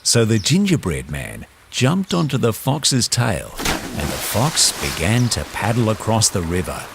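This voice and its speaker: A man's voice: lowish voice, very animated, unhurried, gravelly, noisy background. A man narrating a scripted children's story as its storyteller.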